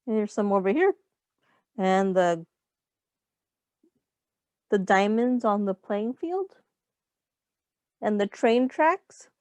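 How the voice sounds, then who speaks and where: Woman reading slowly with lively, swooping pitch. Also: no room sound. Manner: simple, explanatory, pausing to ask questions. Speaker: children's teacher reading a picture book aloud. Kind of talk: read-aloud storytime for young children.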